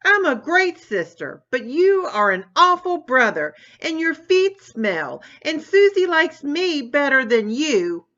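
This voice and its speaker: A woman voicing a bossy, bragging young girl turtle in a storybook, boastful and shrill when angry. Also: sing-song, unhurried, fairly bright tone, muffled recording, full character voice.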